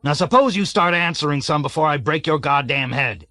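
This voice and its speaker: A man voicing a bloated, blustering army colonel who roars and hectors in a raised, loud voice. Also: on the high side, animated, a little fast, dry recording, pitched above the performer's own voice. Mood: bellowing, bullying. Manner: roaring, hectoring, sarcastic. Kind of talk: audiobook character voice.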